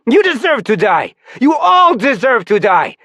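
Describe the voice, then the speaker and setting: A man reading an audiobook voices a resentful, downtrodden man who has turned vengeful and shouts bitterly. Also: medium pitch, big pitch swings, normal speed, no echo. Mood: furious. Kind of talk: audiobook character voice.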